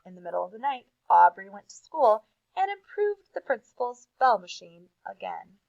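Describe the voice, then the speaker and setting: A woman reading aloud to children as a teacher, with expressive emphasis and casual asides. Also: fairly high pitch, normal speed, vocal fry, no echo, muffled recording.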